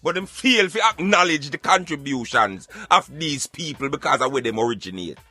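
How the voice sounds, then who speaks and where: A man's voice: fairly high pitch, animated, normal speed, pushed and bright, slightly rough, soft background music. A man with a Jamaican accent hosting a radio show, giving emphatic, colloquial satirical commentary in a conversational way. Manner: emphatic, colloquial, addressing listener as brethren.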